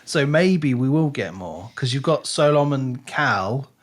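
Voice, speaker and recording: A man with a medium-pitched voice co-hosts a book podcast in a casual, conversational style. He retells things expressively, slipping into quoted reactions as he makes his point. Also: expressive, unhurried.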